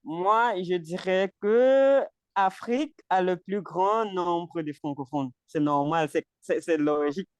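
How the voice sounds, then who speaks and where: A man from Cameroon whose first language is English leads a relaxed French practice session over a video call. His voice is smooth and fairly high for a man, and the sound is close and dry. He hesitates and repeats words, laughing lightly between phrases. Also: slow, no echo. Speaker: conversation group leader. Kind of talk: casual language practice chat.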